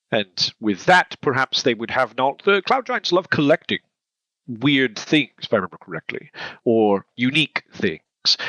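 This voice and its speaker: A man at a tabletop game talks in a bright, forceful voice that rises and falls widely. His sound is dry and close, with no room echo. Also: medium pitch. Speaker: player. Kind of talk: in-character tabletop play.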